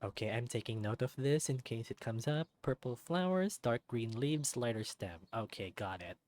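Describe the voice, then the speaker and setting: A let's play narrator reading a visual novel aloud in an expressive storybook way, with light personal asides. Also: medium pitch, moderate pace.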